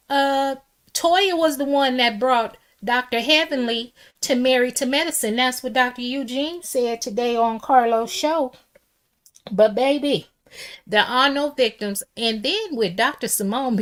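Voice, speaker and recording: A middle-aged woman with a loud, strong voice, giving a casual opinion monologue as a pop culture commentator, sassy and emphatic, calling the listener "baby.". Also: fairly high pitch.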